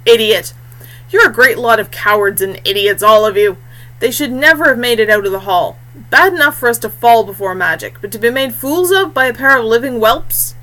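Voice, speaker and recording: Woman doing a ghostly old-time war chief, loud contemptuous bellow, bright and forceful, storybook style. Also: on the high side, lively intonation, normal speed, poor audio. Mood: furious. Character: scornful undead male warrior chief, commanding, bellowing.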